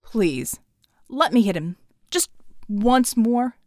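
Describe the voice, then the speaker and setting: A woman's voice: fairly high pitch, expressive, takes it slowly, no echo, studio quality. Woman voicing a brash, boozy male rogue. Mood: exasperated.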